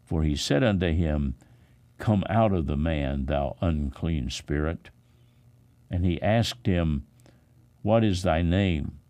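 A man, a pastor, reading the Bible aloud in a solemn, dramatic style with measured, expressive emphasis. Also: rumbling low.

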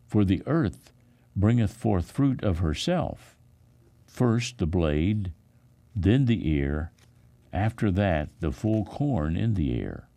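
A man with a low, dark voice reads scripture in a solemn pastoral style. His phrasing is measured and emphatic, with a lively rise and fall in pitch and a gravelly creak at the edges. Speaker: pastor reading scripture aloud. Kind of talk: solemn scripture reading for broadcast.

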